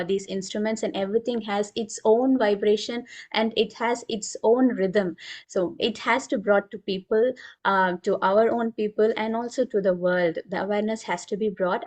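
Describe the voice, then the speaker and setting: A woman presenter answering at a community meeting, speaking earnestly with many fillers. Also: mid-range voice. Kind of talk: casual explanatory talk.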